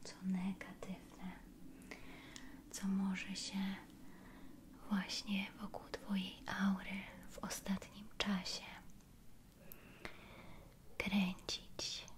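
A woman speaks in a hushed, soothing ASMR manner, mostly in a whisper. Her delivery is extremely slow and stays very flat in pitch, as she guides the listener into relaxation with gentle repetitions. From Poland. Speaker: ASMR creator, reiki session guide.

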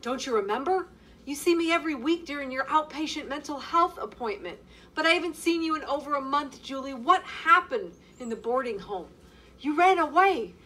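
Woman playing a gentle coaxing caseworker. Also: sits high, lively intonation, normal speed, pitched above the performer's own voice. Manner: soft, coaxing, reassuring. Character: gentle, worried female mental health caseworker.

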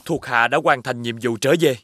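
An actor playing a brave, loyal young warrior in an animated folk tale, earnest and resolute in his delivery. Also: mid-range voice, normal speed, natural voice. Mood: proud.

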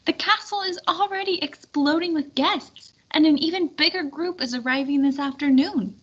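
A woman's voice: sits high, moderate pace, fairly bright tone, highs cut off, pitched above the performer's own voice. A woman voicing a harried, fretful medieval lady planning a feast in a storybook. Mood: flustered. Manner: exclaiming, fretful.

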